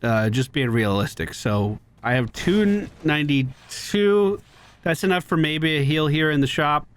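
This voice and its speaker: A man's voice: on the high side, expressive, measured pace, clean tone. A man giving casual running commentary as he plays a video game, talking to himself with exasperated exclamations and swearing. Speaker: gaming video creator.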